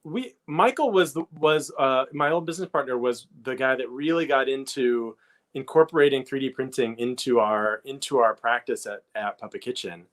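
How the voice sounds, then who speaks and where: A man with an average-pitched voice hosts a relaxed chat as interviewer. He asks in a halting, thoughtful way, circling back to reword his questions. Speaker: interviewer, host.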